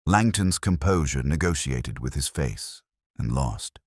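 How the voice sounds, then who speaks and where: A man's voice: very deep voice, animated, a little slow, darkish tone, no echo, clean studio sound. A man reading scripted literary mystery narration as a storyteller. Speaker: audiobook narrator.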